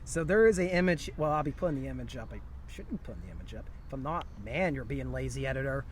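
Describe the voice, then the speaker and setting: A man's voice: medium pitch, animated, quick pace, noisy background. A man hosting a podcast in casual chat, recorded outdoors on location. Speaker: podcast host.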